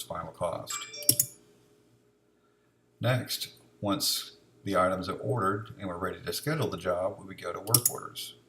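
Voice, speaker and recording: A man giving an informal, unscripted step-by-step software demonstration, explaining each step as he goes. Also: low-pitched, noisy background.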